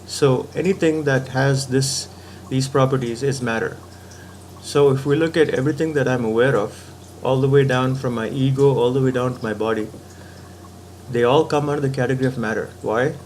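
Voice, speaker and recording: A man's voice: medium pitch, normal speed, a little mellow, slight background noise. A man giving a patient philosophical talk, reasoning step by step and often checking in with the listener. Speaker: spiritual teacher.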